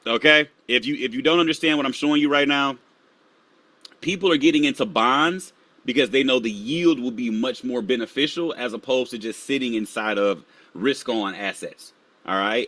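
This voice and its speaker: A man's voice: a bit bright, dry recording. A man hosting a live stream, casually walking viewers through market charts and sometimes muttering to himself as he pulls up the next one. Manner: casual, talks to viewers while pulling up charts; drops to a low mutter while searching charts.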